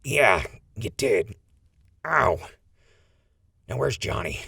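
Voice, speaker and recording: A man playing a rough, practical jailbreak ringleader with a husky, gravelly voice, terse with orders, in an audio drama. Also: mid-range voice, sing-song, extremely slow, pushed and bright, no echo, studio quality, full character voice. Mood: practical, dry. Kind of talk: post-apocalyptic audio drama acting.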